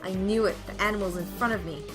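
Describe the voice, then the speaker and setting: A woman's voice: mid-range voice, fast talker, over music, lightly acted. A woman playing a frightened, desperate young hero in a visual novel, narrating in an emotional, melodramatic way. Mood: tense, fearful. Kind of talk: dramatic first-person visual novel narration.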